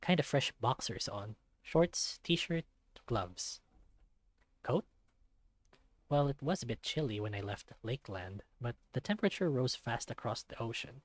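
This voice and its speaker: A man's voice: mid-range voice, lively intonation, measured pace, no room sound. A man voicing a self-deprecating young male otter, narrating a visual novel in the first person with wry self-mockery. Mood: wry, matter-of-fact.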